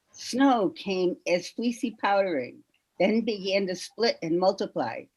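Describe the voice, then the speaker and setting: A woman reading a poem aloud with measured, deliberate phrasing. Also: medium pitch, rough recording. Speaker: poetry reader.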